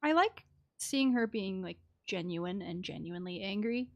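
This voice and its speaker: A woman's voice: mid-range voice, lively intonation, moderate pace. A woman streaming a video game, giving casual commentary with blunt, reactive asides.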